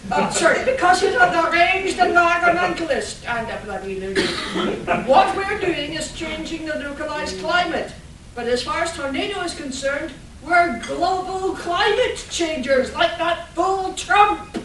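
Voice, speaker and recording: A woman's voice: very high voice, expressive, a little slow. A woman playing a scornful, haughty villain, a scheming weather scientist in a comic radio play. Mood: exasperated. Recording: echoey room, far mic, faint noise.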